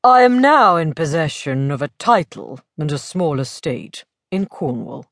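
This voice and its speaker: An urbane gentleman lord, played by a woman narrator, droll and dryly amused. The voice is lowered and unhurried, with lively turns of pitch, in the manner of a romantic audiobook. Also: no room sound, studio quality, full character voice. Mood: amused musing.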